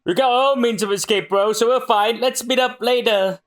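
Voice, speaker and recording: A performer voicing excitable, dramatic pirates and marines in an acted manga read-aloud, exclamatory and melodramatic. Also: mid-range voice, normal speed, pitched above the performer's own voice, close to an everyday voice. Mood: defiant.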